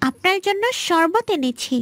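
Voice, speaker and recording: A woman's voice: extremely high pitch, moderate pace, over music. A woman voicing a young, obedient palace maid in a fairy-tale cartoon, with a polite, persuasive manner. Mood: polite, coaxing.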